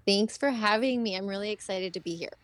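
A woman's voice: mid-range voice, brisk, dry recording. A woman in her thirties from Ecuador, a podcast guest chatting casually, frank and laughing often between fillers. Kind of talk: casual chat.

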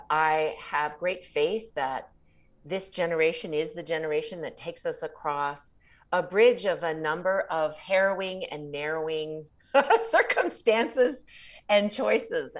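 Chatty woman in her sixties, lower voice. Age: 60s. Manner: chatty, laughs often, reflective. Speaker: podcast guest, author. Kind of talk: casual interview chat.

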